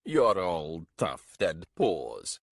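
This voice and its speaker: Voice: low voice, very animated, takes it slowly, slightly rough, no echo, clean studio sound, full character voice. A man voicing a sly, grumbling old warrior with theatrical, sneering archaic speech in a story.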